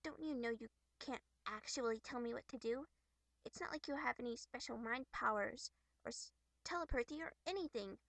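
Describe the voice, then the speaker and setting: A playful young troll girl, put on by a woman for an amateur webcomic voice reel. She is high-pitched, theatrical and teasing, with a defiant streak. The sound is dry. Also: normal speed, fairly bright tone, no echo. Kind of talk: amateur character voice acting from a webcomic.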